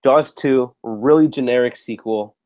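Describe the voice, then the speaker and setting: A man's voice: mid-range voice, unhurried, a little mellow. A man hosting a casual movie podcast, slightly nasal from a cold, chatty and swearing casually. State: getting over a cold, a bit nasal. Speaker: podcast host.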